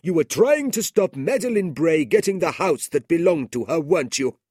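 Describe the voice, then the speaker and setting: A man plays an earnest, kindly old merchant who speaks high and firm. His voice rises and falls with feeling, recorded dry and close. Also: expressive, moderate pace, darkish tone, slightly rough, no echo. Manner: earnest and raised in pitch.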